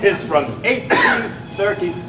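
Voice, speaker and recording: A man playing a chatty, eager psychiatric patient in a stage play, pedantic and rambling, excitable and prone to repeating himself. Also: high voice, a little slow, background noise. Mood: excitable, proud. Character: chatty, eager know-it-all male psychiatric patient named Ethan. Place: theatre stage, recorded from a distance. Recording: echoey stage recording, distant mic, music.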